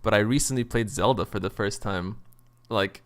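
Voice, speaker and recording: A man's voice: fairly low pitch, normal speed. A man hosting a casual gaming podcast, talking in a loose, joking way. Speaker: podcast host.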